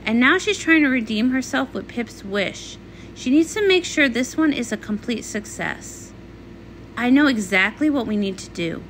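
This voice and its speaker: A woman performs a comic read-along in a high, bright voice. The reading is theatrical and swings widely between characters, over faint background noise. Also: animated, moderate pace, low-quality recording.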